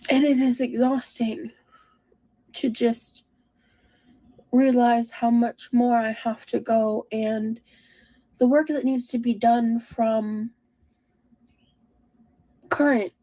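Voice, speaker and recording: A woman in her thirties speaking in a halting, self-reflective way, as in a personal confessional vlog. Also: fairly high pitch, steady tone, mellow, rough recording. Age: 30s.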